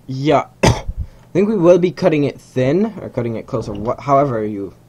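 A man's voice: medium pitch, sing-song, moderate pace, darkish tone, husky. A young man whose first language is Hungarian, casually commentating a game rocket launch at home, rambling and correcting himself as he goes. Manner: rambling, self-correcting, chuckling asides. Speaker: gamer narrating a rocket launch. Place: small room at home.

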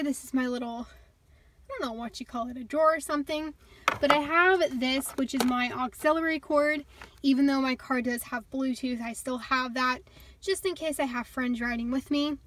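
A young woman with a high, bright voice chats her way through a tour of her small car, upbeat and quick to laugh. She films on a phone in her hand from inside the parked car, with a faint hiss behind her. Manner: chatty and upbeat, with little laughs. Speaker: vlogger. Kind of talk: casual car tour walkthrough. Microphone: phone held in hand.

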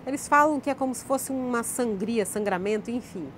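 A woman's voice: a little high, brisk, slight background noise. A Brazilian woman chatting to her viewers on a casual vlog, moving between outdoors and a car at a campground. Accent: Brazilian Portuguese. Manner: chatty, addresses viewers directly. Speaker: vlogger.

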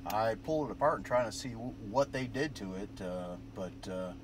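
A man's voice: lowish voice, lively intonation, moderate pace. An American man chatting casually over the radio in a rambling, easygoing way, recorded in a noisy parked car. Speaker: amateur radio operator. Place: inside a parked car at roadside with windows down.

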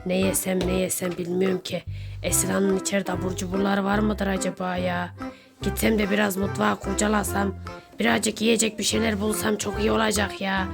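Woman playing a whiny, greedy, gravelly hungry neighbour. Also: mid-range voice, level tone, moderate pace, over music. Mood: furtive muttering. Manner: whining, pleading, muttering to self. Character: whiny, greedy, ever-hungry pot-bellied neighbour intruder scheming for snacks. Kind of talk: children's pretend-play skit.

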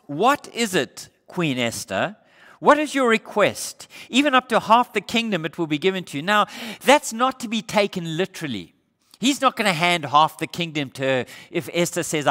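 A middle-aged male pastor from Australia preaching a sermon on a church stage, asking the congregation rhetorical questions. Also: high-pitched. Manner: animated preaching with rhetorical questions, gesturing while standing. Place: church sanctuary stage, indoors. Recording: reverberant church hall.

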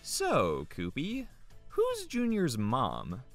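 Animated man reading out questions. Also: mid-range voice, extremely slow, soft background music. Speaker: show's tech guy reading viewer questions. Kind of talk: reading aloud, casual banter.

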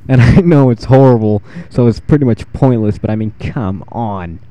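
A fourteen-year-old boy with a freshly deepened voice, rambling and self-deprecating while giving casual commentary over his gameplay. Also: moderate pace, warm tone. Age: 14, teenage boy with newly deepened voice. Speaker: gaming channel host.

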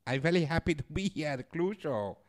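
A man's voice: a little high, expressive, fast, pitched above the performer's own voice, lightly acted. A man voicing a cheerful guest in his late thirties who speaks broken English and giggles, sounding a little off-mic.

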